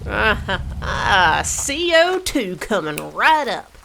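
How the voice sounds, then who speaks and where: A woman's voice: mid-range voice, big pitch swings, drawn-out words, vocal fry, sharp tone, a little hiss or hum, exaggerated voice. A woman playing a baffled but helpful emergency chief in an audio drama. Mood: helpful, hurried.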